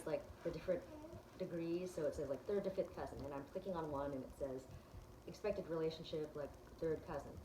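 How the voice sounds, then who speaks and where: A woman's voice: sits low, echoey room, background noise. A woman vlogger chatting casually as she clicks through her results, with plenty of ums and restarts. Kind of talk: casual chat, walking through results on screen.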